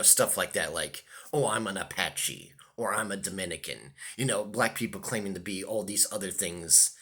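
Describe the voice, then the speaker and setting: Deep-voiced rambling man. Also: sharp tone, breathy edge. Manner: hesitant, many ums and restarts. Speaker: vlogger. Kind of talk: casual rambling monologue.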